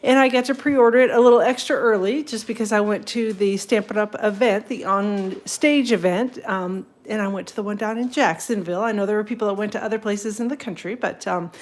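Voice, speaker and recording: A chatty woman runs a crafting livestream, a little sniffly from a cold. She rambles between tips, greets viewers by name and laughs easily. Her voice sits at an ordinary middle pitch. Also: fairly quick, few pauses. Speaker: papercraft livestream host. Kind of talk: casual livestream demo.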